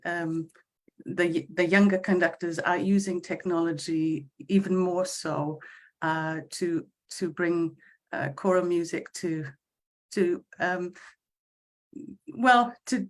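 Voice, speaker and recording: Welsh lady choir conductor on a zoom call, lowish voice, lots of ums and restarts. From Wales. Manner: warm, halting, many fillers and restarts. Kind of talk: casual online panel chat. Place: home, eastern United States. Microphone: video call.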